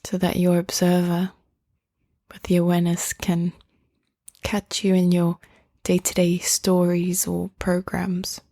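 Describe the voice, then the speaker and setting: A woman hosting a guided meditation in a soothing, gentle, unhurried manner, leaving long pauses between phrases. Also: lowish voice, monotone, no room sound, studio quality.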